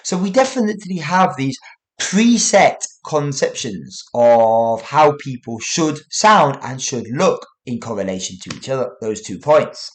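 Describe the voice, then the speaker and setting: A man's voice: mid-range voice, sing-song, a little slow. A man hosting his own English-learning podcast, talking casually to listeners with frequent "you know" asides. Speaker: English teacher and podcast host. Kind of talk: solo educational podcast talk.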